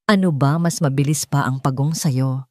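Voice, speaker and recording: Audio drama role: woman as cruel, haughty society woman, condescending and mock-sweet, mellow dry sound. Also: rumbling low, moderate pace, no room sound. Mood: scolding. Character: haughty, cruel rich woman taunting a servant.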